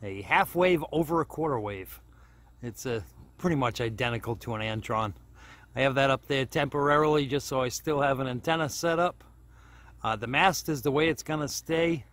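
A man's voice: mid-range voice, moderate pace, a bit bright. A man giving a plain-spoken, casual step-by-step narration of a hands-on DIY project as he films it. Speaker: DIY hobbyist video host.

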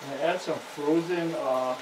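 Easygoing man cooking over loud frying. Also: on the high side, a little slow, heavy background noise. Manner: easygoing, explains step by step with fillers. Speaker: home cook demonstrating. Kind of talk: casual cooking demonstration. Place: home kitchen at the stove, indoors. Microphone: camera held by someone else a few feet away. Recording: roomy kitchen, loud frying behind.

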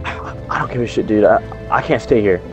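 A man's voice: fairly low pitch, fairly quick, music in the background, close to an everyday voice. Jittery, impatient zombie survivor played by a man. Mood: panicked. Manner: casual, slangy, sweary. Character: jittery, impatient male survivor eager to leave. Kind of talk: improvised video game roleplay skit.

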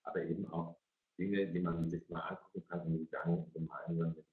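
A man's voice: sits low, flat delivery, fairly quick. A middle-aged man moderating a webinar informally, repeating words as he forms his questions. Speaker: moderator of an online parents' evening. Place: indoor room on a video call. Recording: echoey room, dull video-call audio.

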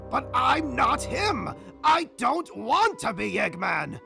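A man voicing a timid, frightened handyman in a comic dub, stammering and pleading in a creaky voice. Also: fairly high pitch, huge pitch swings, unhurried, over music, exaggerated voice. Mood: frightened protest. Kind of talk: comic dub character acting.

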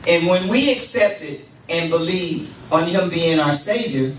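An older woman giving a measured, deliberate address at a church lectern, holding a handheld microphone. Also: lowish voice, expressive, slight background noise. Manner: measured, deliberate, with congregation responses. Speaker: church speaker. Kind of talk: spoken address at a church service. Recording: echoey room, camera far off.

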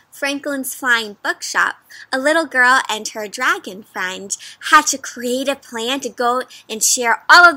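A woman in her twenties reads aloud to young children in a high, very bright voice. Her delivery is animated and sing-song, and she keeps turning to her young listeners with questions as she goes. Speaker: children's storybook reader and host. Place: outdoors in a leafy yard.

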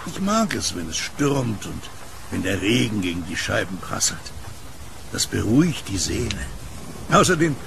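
Man playing a gravelly, genial night kiosk keeper. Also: fairly low pitch, very animated, moderate pace. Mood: contemplative, content. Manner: chatty, teasing, soothing to his dog. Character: genial solitary male late-night kiosk keeper, fond of his dog. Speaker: voice actor in a horror radio play. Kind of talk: German horror radio drama acting. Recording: noisy storm ambience behind voice.

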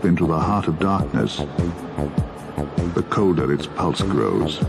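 A man playing a grave, brooding narrator of a dark future war in a video game, in solemn, portentous scripted narration. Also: extremely low pitch, lively intonation, slow, creaky, heavily acted. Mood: grave, ominous. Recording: loud music behind the voice. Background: loud music.

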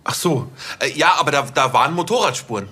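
An actor playing a bumbling, sheepish junior police officer who talks hesitantly and apologetically. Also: male voice, a little high, lively intonation, fast, pushed and bright, breathy edge, heavily acted.

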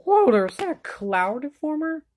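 A woman's voice: sits high, sing-song, normal speed, darkish tone, voice pushed up. A woman voicing a brash, cocky, hot-headed young sidekick in an anime fan dub, slangy, mouthy and exclamatory, on an amateur home recording. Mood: fired-up, defiant. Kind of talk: anime fan-dub acting, solo voice clips.

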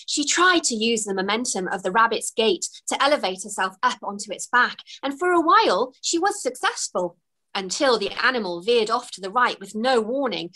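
A young woman reading her own children's book aloud over a video call from a room at home, expressive with gasps and small laughs. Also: medium pitch, poor audio. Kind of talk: storybook narration. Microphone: webcam on a video call.

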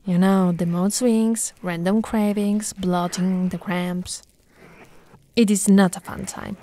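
A woman playing an affectionate, teasing lamia girlfriend in an ASMR roleplay, soft and cooing, often slipping into whispers. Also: mid-range voice, steady tone, slow pace, lightly acted. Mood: playful, wry.

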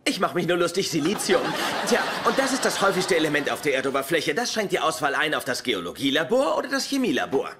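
A man's voice: fairly high pitch, big pitch swings, fast, few pauses, pushed and bright. An actor playing a pompous, pedantic male genius nerd in a German sitcom audio play, lecturing with smug precision. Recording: noisy, with clear background ambience.

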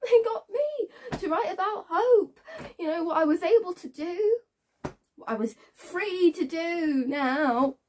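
Woman acting a wounded young woman, breathy and high. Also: full character voice. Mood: anguished. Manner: halting, repeats phrases, swings between hushed and intense. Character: wounded, bitter young woman confronting her past abuser. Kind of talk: dramatic stage monologue, naturalistic acting.

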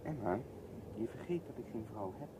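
A man's voice: mid-range voice, lively intonation, moderate pace, noisy background, lightly acted. An actor playing a dry, pedantic middle-aged male teacher in a radio play, explaining matter-of-factly. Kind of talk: Dutch radio play acting.

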